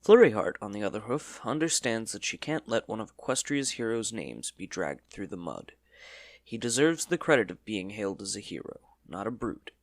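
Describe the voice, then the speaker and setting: Animated male storybook narrator, lowish voice. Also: moderate pace, dry recording. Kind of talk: scripted dramatic storybook narration.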